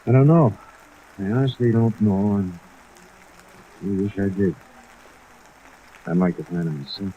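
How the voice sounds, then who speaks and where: An American actor playing a weary, lovelorn jazz musician with slangy, hesitant speech in a 1950s radio drama. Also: male voice, lowish voice, measured pace, long pauses, close to an everyday voice. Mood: guarded. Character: weary, lovelorn male jazz trumpeter, down on luck. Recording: dull vintage radio, rain noise behind.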